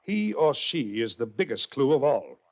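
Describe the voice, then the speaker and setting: An actor playing a sly, smug puzzle-master with mocking, precise politeness, in 1940s radio mystery style. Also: male voice, mid-range voice, very animated, moderate pace. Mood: smug, goading. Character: sly, smug male puzzle-master taunting his fellow passengers. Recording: dull old radio recording.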